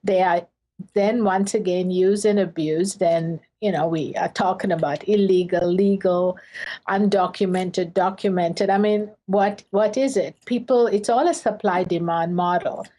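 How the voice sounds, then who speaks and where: A woman's voice: lowish voice, steady tone, a little slow, dark tone. A woman from Sri Lanka, a professor, answering interview questions earnestly in short phrases with fillers.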